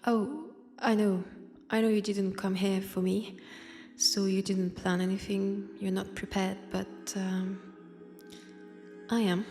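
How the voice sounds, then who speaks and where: A woman's voice: mid-range voice, little pitch movement, takes it slowly, darkish tone, soft background music. A woman with a French accent playing a wistful, wry centuries-old vampire in a convent, in an intimate audio roleplay, hesitant and sighing. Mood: tender, nostalgic. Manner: hesitant, sighing, drifting into near-whispers. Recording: long church-like reverb.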